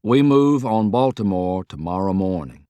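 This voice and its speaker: Man playing a hushed, weary, resolute general. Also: sits low, animated, clear, resonant tone, no echo, lo-fi sound. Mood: quiet resolve. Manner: hushed, slow, measured. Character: grave, weary male Confederate general, quietly resolute.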